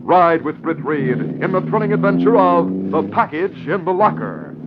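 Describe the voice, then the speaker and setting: A man's voice: on the high side, very animated, normal speed, creaky. A man narrating a 1940s radio drama in a dramatic, declamatory announcer's style. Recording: muffled old radio broadcast, faint noise.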